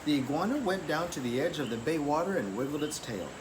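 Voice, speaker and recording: A man reads a children's story aloud with a very animated, fairly high voice. His delivery is expressive and deliberate. Clear background noise runs through the recording. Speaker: story reader. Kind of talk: children's picture book read-aloud.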